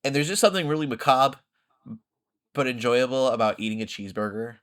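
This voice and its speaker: A man hosting a podcast, telling rambling, animated stories in casual conversation. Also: medium pitch, a little fast. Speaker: podcast host.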